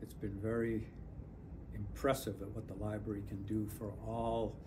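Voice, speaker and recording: An older man and retired airline captain, speaking in a measured, reflective way with pauses, in a community testimonial. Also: on the low side, flat delivery, background noise.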